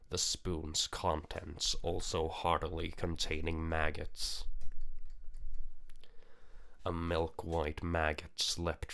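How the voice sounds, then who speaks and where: A man's voice: extremely low pitch, fairly bright tone. A man reading a visual novel aloud as dramatic story narration. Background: music.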